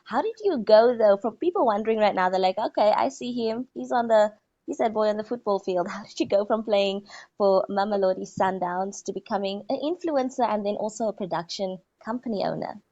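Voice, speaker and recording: A woman's voice: medium pitch, a little fast, mellow, lo-fi sound. A woman hosting a podcast, speaking in an upbeat, presenter-like way. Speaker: podcast host. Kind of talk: scripted intro, then interview.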